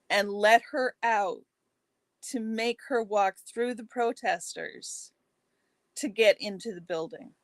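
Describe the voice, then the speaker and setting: A woman with a fairly high voice telling stories earnestly in a casual conversation. The sound is close and dry, with no room echo. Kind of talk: casual chat.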